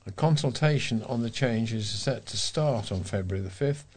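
A man's voice: mid-range voice, expressive, moderate pace, a little mellow. A British man reading local news items aloud as a volunteer newsreader.

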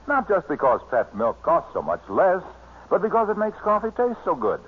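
An American man working as announcer, delivering scripted introductions and sponsor pitches in 1940s radio style. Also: huge pitch swings, normal speed, husky. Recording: tinny vintage radio broadcast recording.

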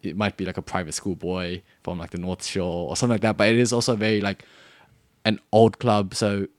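A man's voice: sits low, flat delivery, brisk, no room sound, studio quality. A young Australian man co-hosting a podcast, chatting casually and rambling with lots of fillers. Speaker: podcast co-host. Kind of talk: casual chat.

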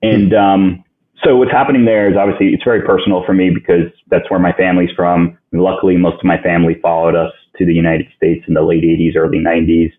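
A man from Ukraine whose first language is Russian, a podcast guest answering in a halting way that thinks aloud with many restarts, heard over a phone-line recording. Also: low voice, little pitch movement. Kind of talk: casual interview answers. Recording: tinny phone-line sound.

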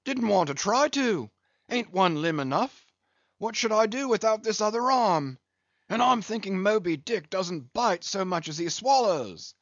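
A man's voice: sits high, expressive, measured pace, no room sound, muffled recording, voice pushed up, exaggerated voice. A man voicing a boisterous, jolly one-armed English whaling captain in an audiobook, hearty and teasing with many exclamations. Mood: hearty, excited.

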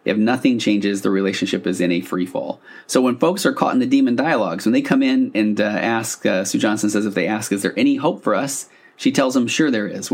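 A man with a fairly low voice speaks as a solo podcast host. He explains in an informal, conversational way, with the animated delivery of a therapist talking through examples on a studio-style mic. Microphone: podcast microphone on a stand.

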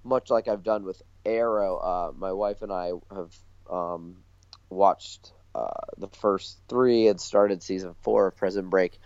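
A man's voice: sits low, steady tone, a little slow, darkish tone, no room sound, lo-fi sound. A man co-hosting a podcast in casual, enthusiastic chat. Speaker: podcast co-host.

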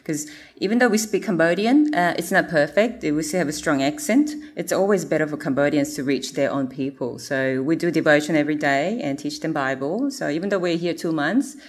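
A woman presenting her mission work, speaking earnestly with many pauses and fillers. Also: deep voice, slightly rough.

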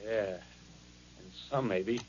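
An actor playing an embittered, fanatical artilleryman in a 1930s radio drama, with rough colloquial speech and a ranting, insistent manner. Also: fairly low pitch, slow, a little mellow. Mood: bitterly sardonic. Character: embittered, fanatical artilleryman survivor scheming grandiose underground resistance. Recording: muffled vintage 1930s radio recording.

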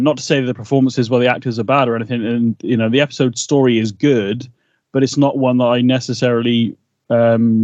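A man's voice: medium pitch, a little mellow, no room sound. Laid-back man with muffled lo-fi sound. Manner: laid-back, hesitant with fillers. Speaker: podcast co-host. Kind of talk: casual podcast chat.

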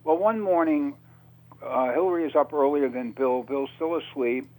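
A man speaking as a radio interview guest, telling his account in a halting, conversational way with repeated words. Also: fairly high pitch, dark tone, rough recording. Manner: halting, hesitant phrasing with repetitions.

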